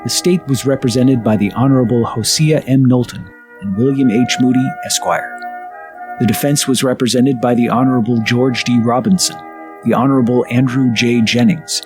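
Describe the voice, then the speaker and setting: A man's voice: medium pitch, steady tone, normal speed. Male narrator for a trial reenactment: formal scripted read, medium register, light music bed.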